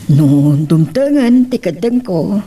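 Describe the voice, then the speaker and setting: A woman plays an ailing, doting old Ilocano mother in an audio drama. Her voice is mellow and husky, and she speaks tenderly with sighs, heard from a little way off the mic. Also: fairly low pitch, sing-song, takes it slowly, distant mic, full character voice. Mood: resigned. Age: older. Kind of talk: Ilocano radio-style drama acting.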